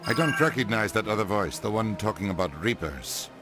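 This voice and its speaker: An actor playing an irritable, curt human ambassador in a video game. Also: male voice, fairly low pitch, animated, a little fast, over music, close to an everyday voice. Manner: curt, exasperated.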